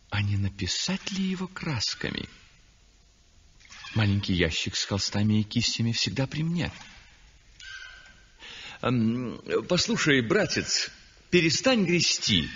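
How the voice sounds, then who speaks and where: A man's voice: mid-range voice, gravelly, a bit bright, slight background noise, heavily acted. An actor playing a self-satisfied, exuberant and condescending landscape painter, theatrical and savouring his phrases, in a 1960s radio drama style. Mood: eager, bossy. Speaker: actor narrating in first person. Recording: dull vintage 1960s radio recording.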